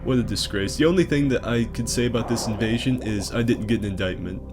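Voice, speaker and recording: A man's voice: mid-range voice, fast talker, music in the background. A man hosting a gaming video with casual, profane commentary, delivered in a sarcastic, hyperbolic way.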